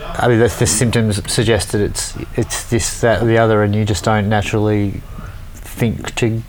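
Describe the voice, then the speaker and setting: A man's voice: on the low side, flat delivery, normal speed, darkish tone, low-quality recording. A man in casual conversation who rambles through opinions, stumbling and restarting often. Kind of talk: casual chat.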